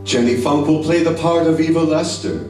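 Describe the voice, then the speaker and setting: A man's voice: on the high side, expressive, moderate pace, a little mellow, natural voice. A man playing a formal, dramatic 1940s radio mystery host, reading scripted narration. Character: suave male radio mystery show host. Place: indoor theater stage. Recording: very echoey stage, distant mic, music behind.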